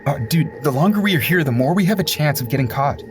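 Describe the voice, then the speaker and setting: A man's voice: fairly high pitch, lively intonation, fast talker, natural voice. An actor playing a nervous young man who tags along with his friend, teasing him and worrying about getting caught. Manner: teasing, laughs easily, worried. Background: music.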